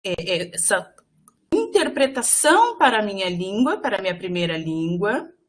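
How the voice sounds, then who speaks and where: A woman interpreter giving live voice to a signed lecture, speaking in halting phrases with frequent fillers and restarts. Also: medium pitch, very animated. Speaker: sign language voice interpreter for a workshop.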